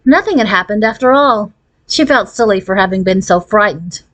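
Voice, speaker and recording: A woman reading a children's storybook aloud in an expressive, narrating manner. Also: mid-range voice, moderate pace. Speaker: audiobook reader.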